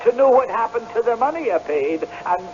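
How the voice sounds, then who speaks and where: An actor playing a humble, frightened but stubborn piper, earnest and deferential, in a radio drama. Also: male voice, very high-pitched, animated, fairly quick, darkish tone. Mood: fearful, pleading. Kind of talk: old-time radio drama acting. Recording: dull, faintly noisy vintage radio broadcast.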